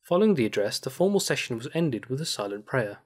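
A man narrating a scripted news report as a studio voice-over, in an even, measured way. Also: medium pitch.

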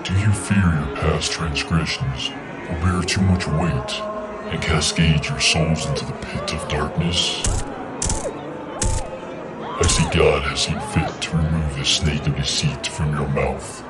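Demonic prophet, extremely deep, pompous and menacing sermonizer, horror audio drama, heavy music underneath. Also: male voice, steady tone, takes it slowly, over a noisy crowd. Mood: grandiose preaching. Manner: preacherly, archaic, pompous. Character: demonic cult prophet in a possessed body, grandiose, menacing. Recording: loud music behind.

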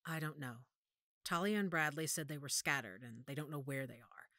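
Low-voiced commanding werewolf matriarch played by a woman. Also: normal speed, sharp tone, dry recording, clean studio sound. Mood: grim, reasoning. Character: commanding werewolf pack matriarch, a calculating mother. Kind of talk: audio drama acting.

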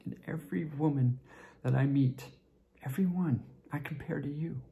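A man performing a dramatic monologue as a tipsy, lovesick young man confessing to a friend, halting and repetitive, with a creaky voice that often drops toward a whisper. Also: on the high side, warm tone. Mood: aching, confessing. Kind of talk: dramatic stage monologue rehearsal.

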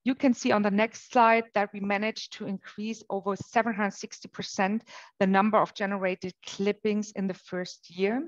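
A woman from Germany with a German accent, giving a webinar presentation in a measured way with frequent pauses. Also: medium pitch, level tone, lo-fi sound. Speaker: marketing manager presenting. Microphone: webcam on a video call.

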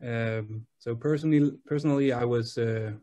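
A man's voice: mid-range voice, steady tone, normal speed, warm tone. Young Danish man on a video call. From Denmark. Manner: hesitant, many fillers and restarts. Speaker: guest, sales manager. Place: small room indoors. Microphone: laptop webcam on video call.

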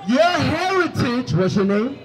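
High-voiced street preacher shouting on a mic. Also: male voice, sing-song, measured pace, a crowd in the background. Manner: commanding, rising to shouts, quizzes listeners. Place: city sidewalk by a food cart. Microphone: handheld microphone.

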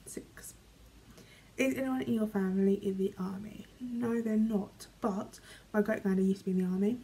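A young British woman vlogging from her bedroom, reading questions aloud and answering in a chatty, rambling way, often trailing off quietly. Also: medium pitch, steady tone, normal speed, low-quality recording. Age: young adult. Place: small bedroom, indoors. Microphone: camera in front, medium framing.

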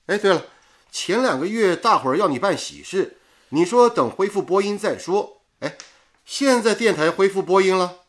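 An actor playing a chatty, blunt and loyal radio engineer in a radio drama, exclamatory and teasing. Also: male voice, sits high, animated, normal speed.

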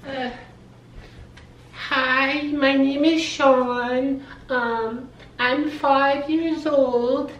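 A man's voice: very high-pitched, steady tone, very slow, fairly bright tone, dull audio, slight background noise, pitched above the performer's own voice, exaggerated voice. A man playing a shy, hesitant five-year-old boy in a comic monologue. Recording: roomy kitchen, distant camera mic.

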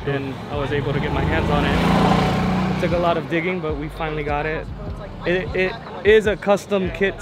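A man in his thirties, a car owner giving a relaxed interview at an outdoor racetrack, repeating words and using many fillers. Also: on the high side. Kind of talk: casual interview.

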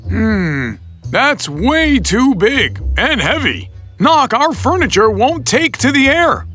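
A gentle cartoon moose, talks in a high voice with very animated swings of pitch. He sounds thoughtful and a little pedantic, reasoning things out over background music. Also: full character voice. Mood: pensive. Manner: deliberate, reasoning, expressive. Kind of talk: children's animated series voice acting.

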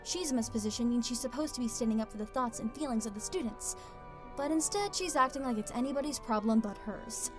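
A woman plays a composed teenage student council member in a fan dub. She explains things calmly, her voice a little high. Music plays clearly behind her. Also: fast, close to an everyday voice. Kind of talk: amateur anime fan dub.

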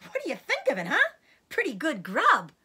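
A woman's voice: a little high, very animated, normal speed, a bit bright, slightly rough, exaggerated voice. A woman voicing a cocky, gleeful, bossy male fox father in a storybook, with a showy, exclamatory delivery. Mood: triumphant.

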